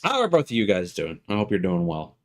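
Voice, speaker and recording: A man's voice: low-pitched, wildly swooping pitch, fairly quick. A man hosting a livestream, giving casual, rambling, hesitant commentary on a game and chatting to viewers. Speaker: livestream host.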